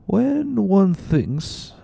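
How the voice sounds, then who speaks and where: A man voicing a drunken, loyal and stubborn tailor in slurred, drawn-out speech for a dramatic book reading. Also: high-pitched, sing-song, vocal fry, dark tone, breathy edge, dry recording, voice pushed up, heavily acted. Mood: woozy musing.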